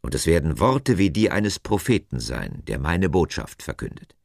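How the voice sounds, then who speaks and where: A stern, patient God in a Bible tale, performed by a male narrator. The delivery is solemn and emphatic, with animated pitch movement and a dry, close sound. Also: measured pace, no echo. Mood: stern, impatient.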